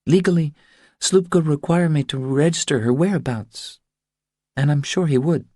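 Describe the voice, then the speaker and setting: A man's voice: on the high side, normal speed, mellow, no echo, clean studio sound, voice pushed up, lightly acted. A man voicing an anxious, stubborn woman who confides her troubles in an earnest, pleading way, for an audiobook. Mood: explaining. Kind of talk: audiobook character dialogue.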